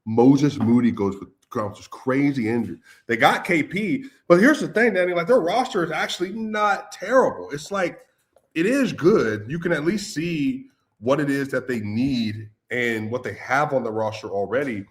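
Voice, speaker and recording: A man's voice: mid-range voice, expressive, moderate pace. A man co-hosting a casual sports chat, talking loosely with lots of "like" and calling his listeners "y'all". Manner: loose and conversational, many "like"s, addresses listeners as y'all. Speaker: podcast co-host.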